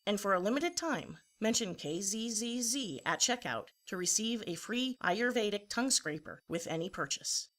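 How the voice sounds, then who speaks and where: A serene yoga guru voiced by a woman delivers a radio commercial at medium pitch. She is utterly earnest, enunciating carefully as she sells with spiritual calm. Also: normal speed, hardly any pauses, natural voice. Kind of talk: scripted parody radio advertisement.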